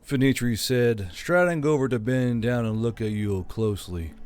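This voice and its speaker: A man's voice: mid-range voice, normal speed, darkish tone, clear, resonant tone. A man narrating a scripted audiobook story in the role of narrator.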